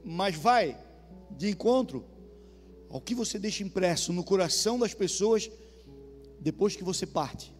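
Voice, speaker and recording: Southern Brazilian male pastor, high voice, chatty preaching in a reverberant hall. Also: expressive, measured pace, long pauses. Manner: conversational, asks the congregation questions. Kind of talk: sermon. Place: church hall. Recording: reverberant church hall with soft music.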